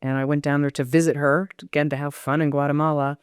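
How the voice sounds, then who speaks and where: A middle-aged American woman speaks in a smooth, clear voice, close and dry. She thinks aloud in a reflective interview style, often circling back and questioning herself. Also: low voice, little pitch movement, fast talker, dry recording. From United States. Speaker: novelist, podcast guest.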